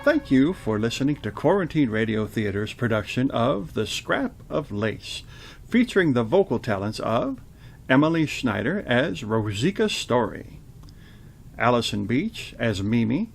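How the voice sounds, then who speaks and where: A man's voice: mid-range voice, big pitch swings, unhurried, gravelly. A man hosting a radio theater show, reading announcements and trading remarks. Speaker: announcer and host.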